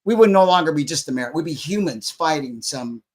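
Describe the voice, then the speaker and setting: A man's voice: fairly high pitch, animated, fast talker, a little mellow. A man co-hosting a casual panel debate, talking in a musing, easygoing, idealistic way.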